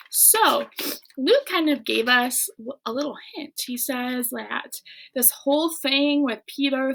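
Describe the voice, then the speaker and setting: A woman reading a children's book aloud in an expressive way, with casual chat to her listeners. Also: sits high, creaky.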